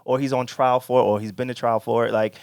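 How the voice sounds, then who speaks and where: A man's voice: mid-range voice, little pitch movement, quick pace, vocal fry, darkish tone, clear, resonant tone, no echo, clean studio sound. A man co-hosting a podcast in casual conversation, with slangy, emphatic, swearing speech. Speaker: podcast co-host.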